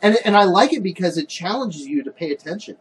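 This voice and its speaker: Casual podcast co-host, male, animated and bouncy, a little higher-pitched. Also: quick pace, slightly rough. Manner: animated, trails off and restarts. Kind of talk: casual chat about comics.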